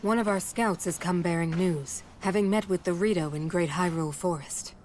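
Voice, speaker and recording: A woman's voice: on the low side, moderate pace, darkish tone, slight background noise, close to an everyday voice. A woman playing a composed, dutiful noblewoman in a video game cutscene. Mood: composed, urgent. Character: composed, dutiful noblewoman bearing urgent news.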